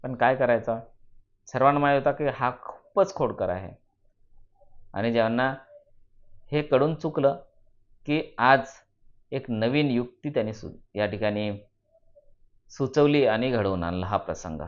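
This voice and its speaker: A man tells a children's moral tale in a mid-pitched voice. He speaks in deliberate, emphatic phrases as a storyteller. The sound is a little dull and lo-fi.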